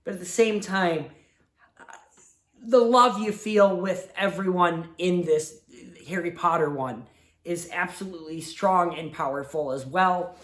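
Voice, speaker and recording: A man's voice: high voice, unhurried, fairly bright tone. A man hosting a casual movie review show, sick, sniffling and with his voice fading, talking in a rambling, heartfelt way. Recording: roomy echo.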